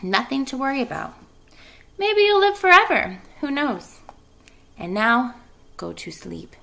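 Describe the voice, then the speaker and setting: Woman as weary storybook spider, female, soothing, wise, hushed, unhurried, creaky. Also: sing-song, full character voice, rough recording. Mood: reassuring. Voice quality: low, hushed voice. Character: tired, gentle, reassuring female spider, motherly and wise.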